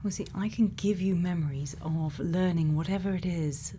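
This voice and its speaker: A woman playing a gentle, quietly kind scientist in a soft, often whispered ASMR roleplay, soothing and reassuring with many pauses. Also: on the low side, a little hiss or hum, natural voice. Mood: gently curious. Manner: slow, soothing, pausing, reassuring. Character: gentle female scientist, quietly kind, programming a robot friend.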